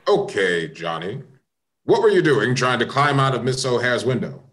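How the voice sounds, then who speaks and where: A man with an American accent playing a firm, knowing police detective in a radio play, commanding and deliberate. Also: mid-range voice, expressive, fairly bright tone, lightly acted. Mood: probing.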